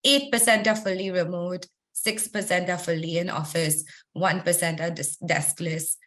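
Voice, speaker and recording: A woman presenting survey results in a webinar while losing her voice, talking conversationally with frequent ums and throat clearing. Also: on the low side, fairly even intonation, moderate pace, fairly bright tone. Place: online webinar.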